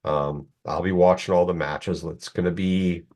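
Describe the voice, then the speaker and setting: Guy in his 40s, deep voice, rambling casual podcast talk, kinda opinionated. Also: steady tone, moderate pace, darkish tone. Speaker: podcast co-host. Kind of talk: casual chat.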